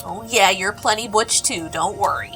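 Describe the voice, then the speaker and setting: A woman's voice: mid-range voice, moderate pace, music in the background, lightly acted. A woman playing a plucky, feisty young farm girl in a fantasy audio drama, with a teasing manner. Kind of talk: full-cast audio drama acting.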